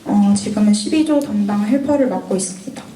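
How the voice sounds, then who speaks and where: A woman's voice: medium pitch, brisk, warm tone, roomy sound, distant mic, slight background noise. A woman in her twenties from North Gyeongsang with a sore throat, giving an earnest, lightly scripted mentoring talk to students. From North Gyeongsang, Korea. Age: 20s.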